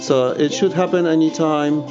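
A man's voice: on the high side, moderate pace, loud music behind the voice. A man giving an informal hands-on demonstration, hesitant and searching for words. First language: not English. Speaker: video presenter demonstrating audio gear. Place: indoors at home, by a tape deck. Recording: dull lo-fi home recording.

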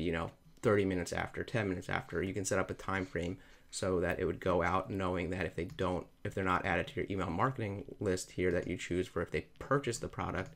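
A man talking through a software tutorial over a screen recording, explaining each step plainly. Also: low-pitched, vocal fry. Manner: plain step-by-step explaining, repeats "you're gonna".

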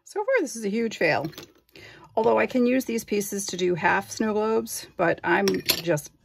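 A woman's voice: medium pitch, lively intonation, measured pace, vocal fry, a little hiss or hum. A woman narrating her glass craft work at a home workbench, thinking aloud and unsure of each step. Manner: thinking aloud, self-doubting. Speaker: glass artist. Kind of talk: casual craft vlog narration.

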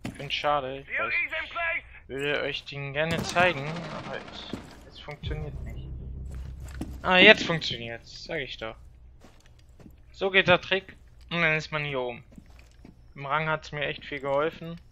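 A man's voice: a little high, unhurried, pauses often, bright, forceful tone. A man giving casual, blunt gameplay commentary, grumbling and swearing as he plays. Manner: blunt, grumbling, coarse-mouthed. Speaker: gamer, let's-player. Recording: noisy home gaming recording with game gunfire. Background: video game sounds, gunfire.

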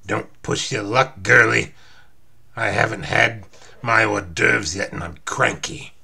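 A man voicing a tough, cranky comic-book hero who growls his threats gruffly. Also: fairly low pitch, measured pace, sharp tone, heavily acted. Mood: surly threatening.

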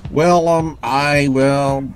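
A man playing an excitable, nervous, grandiose would-be superhero in an audio drama, halting and theatrical. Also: mid-range voice.

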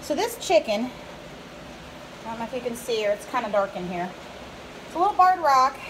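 Woman, middle-aged, higher register, casual homestead vlogger, chatty and off the cuff, faintly noisy outdoor phone audio. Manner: chatty, rambling while showing things around. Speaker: homesteader filming updates. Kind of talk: casual unscripted vlog narration. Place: outdoors around a farmyard, coops and pens. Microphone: handheld phone, speaker behind camera. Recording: noisy outdoor phone recording with animal sounds. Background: farm ambience and animal sounds.

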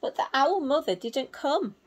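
Woman telling a slow, lively children's story. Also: sits high. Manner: simple, expressive, child-directed phrasing. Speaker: teacher reading a picture book to young children. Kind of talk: storytelling to small children with explanatory asides. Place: small indoor room at home.

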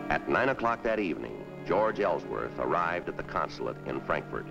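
Sober film narrator, very deep, music behind, muffled old recording. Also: male voice, animated, normal speed, vocal fry, heavily acted. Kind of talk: scripted 1960s film narration.

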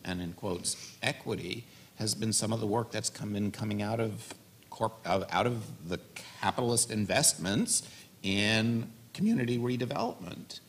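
A man in his forties answering an audience question on a conference panel, measured and thoughtful, into a table mic in an auditorium. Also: lowish voice, animated, slight background noise. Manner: measured, thoughtful, gestures while talking. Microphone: stand mic on panel table.

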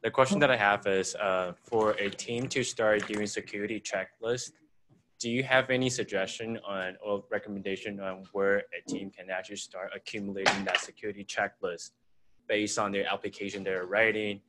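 A man with a lowish voice asks a question from the audience, a little halting and circling back on his phrasing. There is faint noise in the recording. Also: fairly even intonation, fairly bright tone, low-quality recording. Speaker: audience member asking a question. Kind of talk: spontaneous spoken question.

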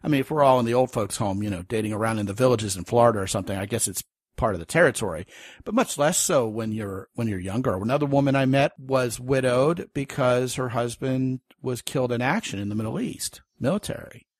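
A man in his thirties hosts a podcast in easy, storytelling chat. He jokes along the way. His voice is slightly husky and the sound is close and dry. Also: mid-range voice, expressive, fairly quick, no room sound. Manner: chatty, storytelling, joking. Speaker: podcast host. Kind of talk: casual interview chat.

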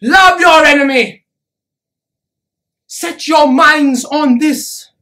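Woman acting high-voiced, slow, impassioned preacher. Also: lively intonation. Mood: fervent, impassioned. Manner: slow, deliberate, emphatic, with dramatic pauses and repetition. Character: impassioned preacher urging a crowd to love. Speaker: actor performing a monologue. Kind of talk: theatrical dramatic monologue, sermon-like oratory.

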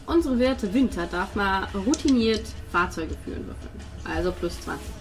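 A woman's voice: medium pitch, lively intonation, moderate pace. A woman improvising narration as game master of a tabletop role-playing game.

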